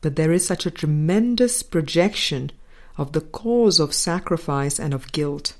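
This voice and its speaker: A woman reading a scripted spiritual dialogue aloud in a measured, deliberate way, pausing between short phrases. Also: low-pitched, animated, darkish tone. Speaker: audiobook reader.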